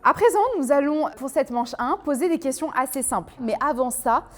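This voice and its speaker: A woman hosting a guessing game, presenting with a playful, lively manner. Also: sits high, moderate pace, faint music behind. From of Turkish and Moroccan descent. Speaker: host.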